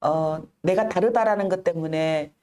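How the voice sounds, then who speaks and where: A middle-aged woman telling her own story in an interview, earnest and measured, pausing often and enunciating with care. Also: on the low side, darkish tone. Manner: earnest, measured, with frequent pauses and careful enunciation. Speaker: interview guest, activist. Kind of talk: reflective personal storytelling in an interview.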